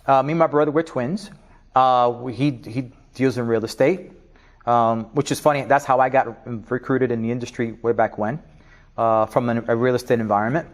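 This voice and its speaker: A middle-aged man from Spanish Harlem with a New York accent, giving an animated interview, laughing often and restarting his sentences. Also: medium pitch, moderate pace. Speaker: interview guest, business leader. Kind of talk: casual interview talk.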